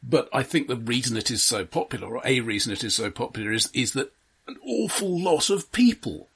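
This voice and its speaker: A man's voice: medium pitch, animated, brisk, creaky, fairly bright tone, slightly rough. A man co-hosting a film podcast, talking casually in a considered, analytical way and refining his points as he goes. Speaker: podcast co-host.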